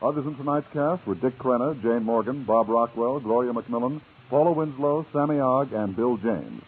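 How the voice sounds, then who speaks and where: A man's voice: medium pitch, normal speed, breathy edge. An American man announcing credits in vintage mid-century radio style. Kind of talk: 1940s-50s radio announcing, reading credits. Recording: tinny old radio broadcast, faint hiss.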